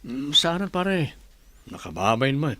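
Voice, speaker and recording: A man's voice: mid-range voice, big pitch swings, slow, sharp tone, no room sound, exaggerated voice. A tired, neglected working husband in a Filipino radio drama. His mid-range voice has a Filipino accent and a plaintive, complaining manner, that of a man who has put up with a lot. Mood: resigned. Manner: complaining, long-suffering.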